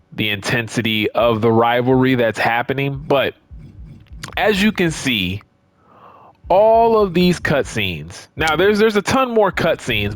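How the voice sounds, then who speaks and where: A man talks off the cuff like a gaming video creator, rambling and sharing his opinions conversationally. His voice sits at a middling pitch and is recorded dry, with very little room sound. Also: no echo. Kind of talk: casual unscripted gaming commentary.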